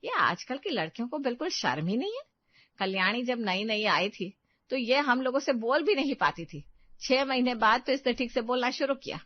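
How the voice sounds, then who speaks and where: A woman's voice: fairly high pitch, very animated, normal speed, dry recording. A woman voicing a bossy, traditional older mother-in-law in a cartoon story, scolding and ordering the family about. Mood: disapproving. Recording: dull, dry, set back from mic.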